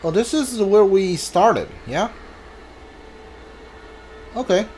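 A man's voice: high-pitched, animated, slow pace, darkish tone, slight background noise. A man giving casual video game commentary, musing aloud in short reactions as he plays. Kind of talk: casual let's play commentary. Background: game music.

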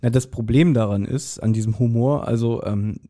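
Male podcast co-host, forties, soft mellow tone, chatty and jokey storyteller. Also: mid-range voice, moderate pace. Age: 40s. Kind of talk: casual podcast chat recapping an audio drama.

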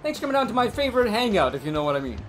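A man's voice: high voice, sing-song, racing, a bit bright, soft background music. A man playing an eager, shady sidekick in an improvised soap comedy, agreeably echoing phrases back. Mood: sly, chummy.

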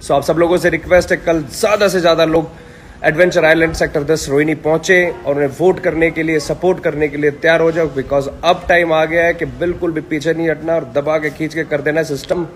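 Singer making a rousing appeal. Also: male voice, fairly high pitch, steady tone, brisk. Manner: formal greeting then rousing appeal. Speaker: singer and YouTuber.

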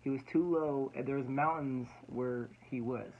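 A man's voice: medium pitch, fairly even intonation, normal speed, phone-quality sound. A young man in his twenties, a student pilot, explaining recorded radio calls in a casual, slangy way, repeating himself often. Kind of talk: casual explainer over playback.

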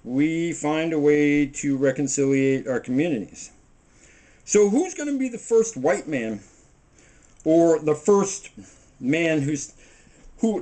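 Animated middle-aged man preaching in his kitchen. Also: a little high. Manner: preachy, animated, talks while working with his hands. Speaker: home cooking show host. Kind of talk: rambling casual monologue while baking. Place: small home kitchen, indoors. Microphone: camera mic on the table a few feet away.

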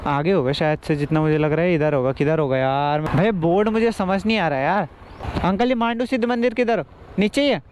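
A man's voice: a little high, brisk, darkish tone. A young man, vlogging casually and thinking aloud, with English words mixed in. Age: young adult. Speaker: motovlogger. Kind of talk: casual vlog chat. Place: outdoors on roads, riding a scooter and stopping. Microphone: action camera on helmet or handheld. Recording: noisy outdoor action-camera audio with vehicle sound.

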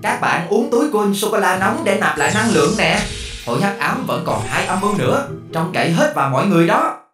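Helpful cartoon mascot, encouraging, echoey and far off, music behind. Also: male voice, high voice, big pitch swings, a little fast.

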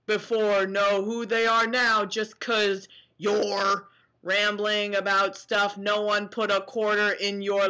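A woman in her twenties with a bright, forceful voice and an unhurried pace. She moves between casual sarcastic commentary and exaggerated, mock-dramatic reading, speaking into a webcam indoors. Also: mid-range voice, low-quality recording. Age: 20s. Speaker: vlogger. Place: small dim bedroom, indoors.

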